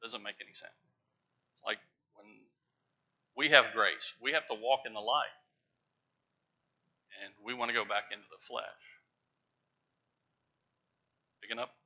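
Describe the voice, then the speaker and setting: A middle-aged man preaching from a church pulpit, stressing key words with emphatic, rising loudness. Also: on the low side, fairly even intonation, a little slow, pauses often. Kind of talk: sermon. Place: large church sanctuary with pews. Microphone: lapel mic, far camera. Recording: dull church livestream audio.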